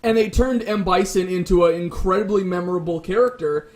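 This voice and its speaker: A man's voice: sits high, brisk. A man in a casual pop-culture debate, making wordy, hedged arguments as one of the show's panelists. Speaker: debate show panelist and moderator.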